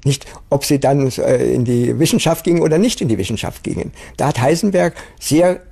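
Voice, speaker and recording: A man recollecting spontaneously in a documentary interview, halting and repeating words as he searches for the next. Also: mid-range voice, gravelly, dark tone, slightly rough.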